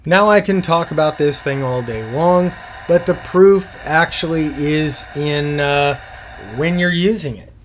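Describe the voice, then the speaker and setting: A man's voice: on the high side, measured pace, slight background noise. A man in his fifties giving a casual, plain-spoken product review in an easygoing explaining way. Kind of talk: casual scripted review narration.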